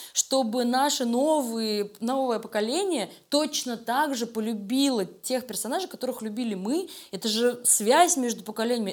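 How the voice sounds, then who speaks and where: A Russian woman giving conversational interview answers in an emphatic, colloquial and enthusiastic way. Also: fairly high pitch. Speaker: animation studio creative director, interview guest.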